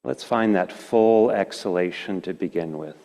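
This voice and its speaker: A man leading a guided meditation as a teacher, speaking in gentle, slow phrases with long pauses. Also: deep voice. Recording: reverberant hall.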